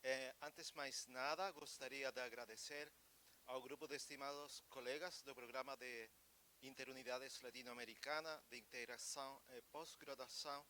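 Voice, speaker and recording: A man's voice: medium pitch, fairly even intonation, moderate pace, pushed and bright. Formal man careful Portuguese. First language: Spanish. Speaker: academic speaker. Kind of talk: formal conference remarks in Portuguese.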